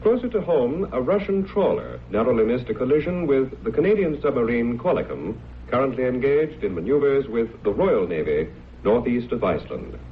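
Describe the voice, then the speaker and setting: A man plays a formal news announcer in an old radio drama. He reads in a scripted style with animated, swinging pitch. The sound is tinny, with noise underneath. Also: a little fast, close to an everyday voice. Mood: official. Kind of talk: scripted newsreading within vintage radio drama.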